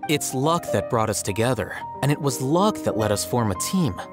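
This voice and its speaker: A man's voice: medium pitch, animated, close to an everyday voice. A man voicing a composed, analytical young male scholar in a video game, speaking formally and dryly. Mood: matter-of-fact. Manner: measured, formal, dry. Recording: music behind the voice.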